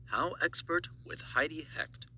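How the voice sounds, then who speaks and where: A man's voice: a little high, unhurried, sharp tone, a little hiss or hum. A man reading scripted audiobook narration in a promotional style.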